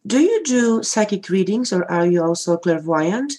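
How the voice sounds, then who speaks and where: A woman's voice: mid-range voice, expressive, normal speed, dark tone. A woman hosting a podcast, asking her guest brief, curious questions. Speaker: podcast host. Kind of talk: interview questions.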